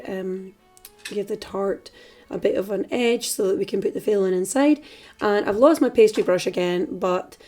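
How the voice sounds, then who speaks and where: Slightly hoarse Scottish woman, chatty. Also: mid-range voice. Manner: chatty, relaxed, with fillers. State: slightly hoarse, dry throat. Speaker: cooking channel host. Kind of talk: casual cooking tutorial voice-over.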